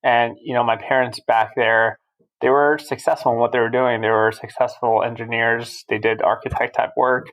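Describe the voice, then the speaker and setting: Flat-toned man from Albania, guest. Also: mid-range voice, normal speed, rough recording. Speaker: podcast guest. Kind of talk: casual interview chat.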